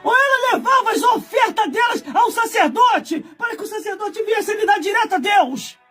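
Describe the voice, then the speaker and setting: A man in his forties, a preacher from Brazil, delivering a fiery sermon with shouted, scolding emphasis and heavy repetition. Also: very high voice, lively intonation, moderate pace, faint music behind. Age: 40s.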